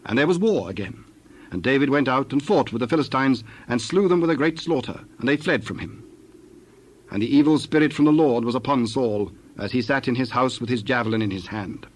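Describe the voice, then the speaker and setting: A man narrates scripture in a measured, formal manner. His voice is mid-pitched, with faint background ambience behind it. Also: slight background noise.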